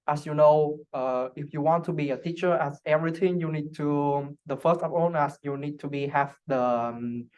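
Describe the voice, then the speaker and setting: A young man who speaks English as a second language, answering interview questions casually and haltingly, searching for words. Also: on the high side, steady tone, darkish tone, clear, resonant tone, poor audio. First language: not English. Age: young adult. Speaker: interview guest, international student.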